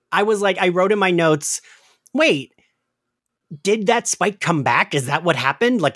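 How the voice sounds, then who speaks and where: A man's voice: fairly high pitch, a bit bright, dry recording, studio quality. A man hosting a podcast, chatting casually and informally with plenty of "like" in his talk. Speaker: podcast host. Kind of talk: casual chat about an audio drama.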